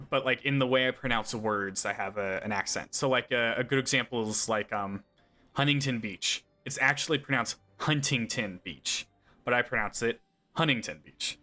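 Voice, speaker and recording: A man's voice: mid-range voice, normal speed, soft background music. A young man from California with a Californian accent, chatting casually as a streamer while playing, full of "like" and "um". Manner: relaxed, many "like" and "um" fillers. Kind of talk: casual chat while playing and reading game text aloud.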